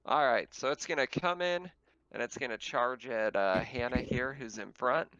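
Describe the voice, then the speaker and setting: A man's voice: mid-range voice, normal speed, fairly bright tone, no room sound. A man speaking casually as game master, narrating and refereeing a tabletop roleplaying game, swearing freely, on a slightly muffled microphone.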